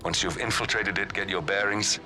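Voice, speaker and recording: An actor playing a serious, focused covert operative in a video game, directing a partner in terse, businesslike lines. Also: male voice, lowish voice, fairly even intonation, brisk, over music, lightly acted, low-quality recording. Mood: focused, intent. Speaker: mission partner on comms. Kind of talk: scripted game radio dialogue.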